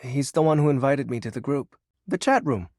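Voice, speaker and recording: A sheepish young shadow character from a video game, acted by a man, speaks in a medium-pitched voice that moves around expressively. He is earnest, hesitant and wistful, recorded very dry. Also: lively intonation, a little fast, darkish tone, dry recording, close to an everyday voice. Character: shy nerdy living shadow in human guise, earnest and wistful.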